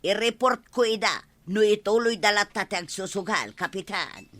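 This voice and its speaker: A woman's voice: mid-range voice, normal speed, a bit bright, lightly acted. A woman playing a feisty, scolding village watchwoman in an Ilocano radio comedy drama, with an Ilocano accent. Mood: proud, firm.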